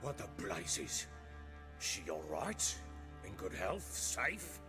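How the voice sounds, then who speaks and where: An actor playing a gruff, commanding middle-aged baron and troubled father in a video game, with a gravelly voice and lordly bluster. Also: lowish voice, wildly swooping pitch, takes it slowly, over music, heavily acted. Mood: anxious, demanding.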